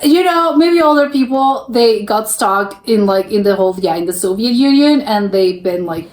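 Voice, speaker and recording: A woman's voice: a little high, lively intonation, moderate pace, darkish tone. A young woman from South America hosting a reaction video, chatting casually and laughing often as she interjects. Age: young adult. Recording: echoey room.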